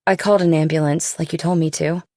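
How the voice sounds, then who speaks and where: A woman's voice: deep voice, fairly even intonation, fast, warm tone, no room sound, studio quality, natural voice. A woman playing an uneasy young finance professional in audiobook dialogue, speaking in a tentative, conversational way. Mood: uneasy, defensive.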